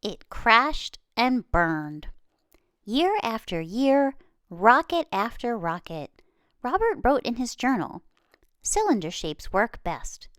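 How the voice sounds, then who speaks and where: A woman reading a children's story aloud expressively, with dramatic pauses and emphasis. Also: on the high side, very animated, fairly bright tone, no room sound, clean studio sound. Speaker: children's book reader.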